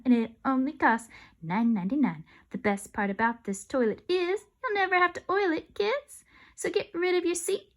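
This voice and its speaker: A cool, slangy grown man rapping a jingle, put on by a woman reading a storybook. The delivery is rhythmic and hyped, with the pitch leaping around from line to line. It stays high and playful throughout. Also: a bit bright.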